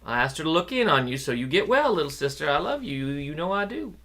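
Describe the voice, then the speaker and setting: A man reading the part of a tired mother who speaks softly and tenderly to her sick daughter. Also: a little high, sing-song, fast, exaggerated voice. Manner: soft, tender, weary. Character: tired, loving, worried mother.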